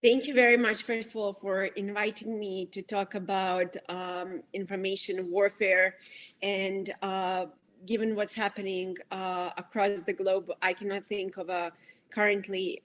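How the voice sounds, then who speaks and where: A woman from Serbia, giving earnest, halting explanations as a policy analyst guest in an interview. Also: medium pitch. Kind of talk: interview answers.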